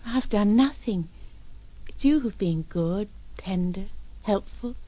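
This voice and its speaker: A woman's voice: mid-range voice, animated, slow, mellow. An actress playing a wounded young woman in love in an audio drama, hesitant and pleading.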